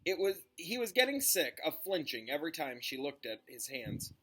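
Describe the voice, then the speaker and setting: A man's voice: fairly high pitch, very animated, moderate pace, fairly bright tone, slight background noise. Man reading a storybook aloud. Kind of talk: storybook narration.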